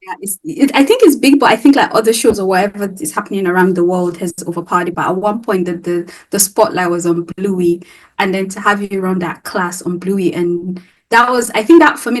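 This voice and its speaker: A woman's voice: fairly low pitch, poor audio. A woman hosting a casual interview, effusive and encouraging, with many fillers and "like"s. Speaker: interview host.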